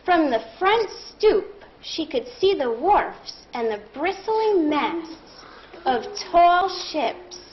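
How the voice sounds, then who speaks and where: Woman, high voice, deliberate classroom read-aloud, instructive, slightly dull noisy recording. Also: very animated. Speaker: primary school teacher reading aloud to a class. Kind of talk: interactive read-aloud lesson, thinking aloud. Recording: muffled classroom recording with clear background noise.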